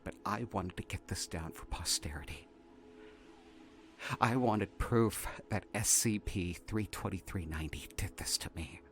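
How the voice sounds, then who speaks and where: Casting: male actor as a haunted, earnest researcher, crisp forward voice, stammering testimony over faint music. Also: on the low side, fairly bright tone. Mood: defeated. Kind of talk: dramatic first-person audio drama narration.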